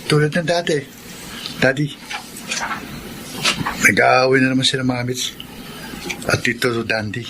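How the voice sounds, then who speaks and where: An actor with a Filipino accent playing a sullen young son in a radio drama. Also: male voice, medium pitch, a little slow, long pauses, background noise, natural voice. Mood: sullen, hurt.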